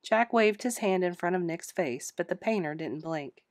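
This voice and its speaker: A woman narrates a story from a script, audiobook fashion. Her voice sits a little low, in a dry space with no room sound. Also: expressive, normal speed, no room sound. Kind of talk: scripted audiobook-style read-aloud.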